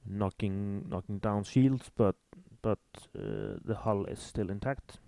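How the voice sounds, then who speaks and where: A man's voice: fairly low pitch, wildly swooping pitch, slow pace, creaky, warm tone, dry recording. A man with an Icelandic accent giving match commentary on a tabletop miniatures game.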